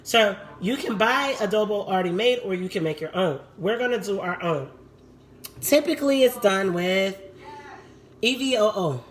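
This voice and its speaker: Raspy woman chatting through a cooking stream. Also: medium pitch, animated, moderate pace, slight background noise. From Puerto Rico. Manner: chatty, talks to live viewers while cooking. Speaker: home cook hosting a cooking stream. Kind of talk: casual live cooking demo. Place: home kitchen.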